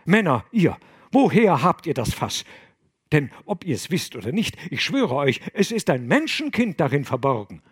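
A man doing an elderly abbot, pious and easily stirred, his voice raised and pitched up above the reader's own. He speaks in solemn, fervent exclamations, loud and ringing. Breathy, husky edges colour the voice. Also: sing-song, takes it slowly, dry recording, clean studio sound, heavily acted. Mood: awed. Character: older male abbot, pious and excitable. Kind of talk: audiobook character voice.

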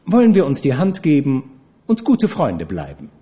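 A man voicing a coquettish young actress who teases and cajoles in a high, airy voice. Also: wildly swooping pitch, moderate pace, warm tone. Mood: teasing. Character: coquettish, teasing young woman, a theatre actress.

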